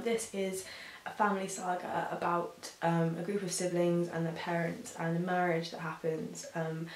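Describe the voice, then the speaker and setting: A young woman, hoarse from a sore throat, chatting casually about books with frequent ums. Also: lowish voice, a little mellow. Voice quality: husky. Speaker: book reviewer. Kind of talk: casual chat to camera. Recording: roomy echo with faint hiss.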